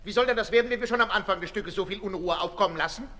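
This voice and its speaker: An actor playing a pompous, condescending asylum director in a 1960s radio play. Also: male voice, high voice, very fast, pushed and bright. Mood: officious. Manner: officious, condescending. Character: pompous male asylum director, fussy and patronising. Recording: reverberant hall, 1960s radio recording.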